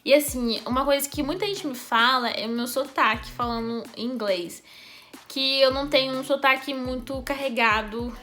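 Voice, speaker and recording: A young woman from Brazil with a Brazilian Portuguese accent, telling a personal story in a chatty, animated vlog, laughing often. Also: sits high, normal speed, a little hiss or hum. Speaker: YouTuber.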